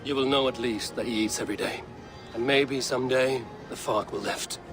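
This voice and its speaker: A Viking warrior played by a male actor in a video game, calm and kindly. The mid-pitched voice is measured and dryly wry, over soft background music. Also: close to an everyday voice. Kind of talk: video game cutscene acting.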